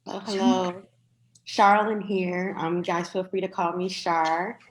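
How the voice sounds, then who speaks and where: A woman's voice: lowish voice, level tone, a little slow, slight background noise, poor audio. An American woman in her thirties giving a polished but informal introduction of herself as a panelist. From Detroit, United States. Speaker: panelist, entrepreneur. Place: online video call.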